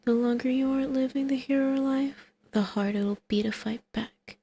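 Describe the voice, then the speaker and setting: A flirtatious villainess acted out by a woman in an ASMR roleplay, speaking in a highish, creaky voice. She is intimate and teasing, often slipping toward a whisper. A faint noise sits behind her. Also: fairly even intonation, normal speed, mellow. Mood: seductive persuasion.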